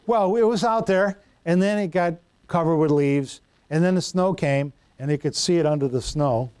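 A man's voice: a little high, measured pace, a little mellow, clear, resonant tone, no room sound. A middle-aged man preaching a sermon in a conversational, folksy way, stumbling over Greek words and telling homely stories. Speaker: pastor.

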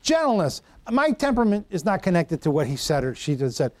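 A man's voice: high-pitched, very animated, brisk, darkish tone, no echo. Folksy male pastor preaching. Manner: conversational, folksy, stumbles over Greek words. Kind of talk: sermon.

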